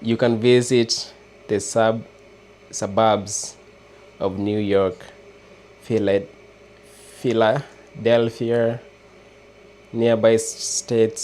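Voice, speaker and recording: Slow, halting Kenyan man. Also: fairly low pitch, a little mellow, slight background noise. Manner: hesitant, halting, restarts words often. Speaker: livestream host. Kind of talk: casual live chat with viewers.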